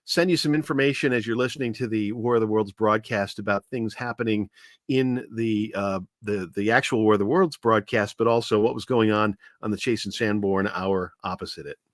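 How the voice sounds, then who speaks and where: A man's voice: on the low side, fairly quick, no echo. A man co-hosting an online show, chatting casually and telling anecdotes in a conversational way on a close microphone. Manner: conversational, acts out quoted lines. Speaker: co-host and commentator.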